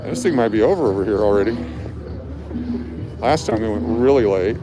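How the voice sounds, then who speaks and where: A man's voice: fairly low pitch, expressive, brisk, a crowd in the background. A man hosting a live walking tour, talking casually and informally as he explains things. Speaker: livestream host and street tour guide. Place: outdoors on city streets at night. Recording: noisy outdoor city street with traffic.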